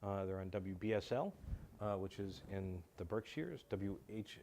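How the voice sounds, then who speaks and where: A man chatting casually as an interview guest, courteous and halting, often repeating words as he goes. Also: deep voice, lively intonation, a little mellow, a little hiss or hum. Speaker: guest, radio show writer. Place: community television studio.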